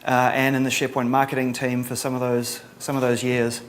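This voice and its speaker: A middle-aged man from New Zealand with a Kiwi accent, giving a relaxed conference talk with lots of ums and a dry sense of humor. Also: medium pitch, brisk. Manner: casual, many ums and uhs, dry humor. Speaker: conference presenter.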